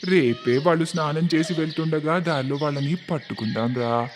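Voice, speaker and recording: A man's voice: a little high, a little fast, faint music behind, lightly acted. A man voicing a greedy, gloating village blackmailer in a cartoon story, scheming and menacing.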